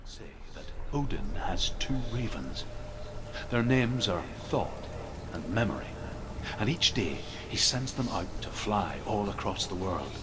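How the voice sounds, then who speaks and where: An actor playing a grave storyteller of Norse legends, speaking in a measured, portentous way in a video game. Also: male voice, on the low side, very animated, noisy background. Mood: solemn, grave. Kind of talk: solemn mythic storytelling.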